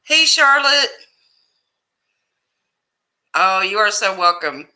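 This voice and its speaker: A woman hosts a relaxed livestream chat and greets her viewers one by one. Her loud voice carries, with a very bright, forceful edge. She talks at an easy, unhurried pace. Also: medium pitch. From Ohio, USA. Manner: loud voice that carries, chatty and laughing. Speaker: livestream host.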